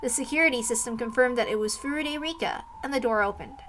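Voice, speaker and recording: Bright-voiced woman reading a story aloud. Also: on the high side, fast, slight background noise. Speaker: story reader. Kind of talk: scripted narration, amateur read-aloud.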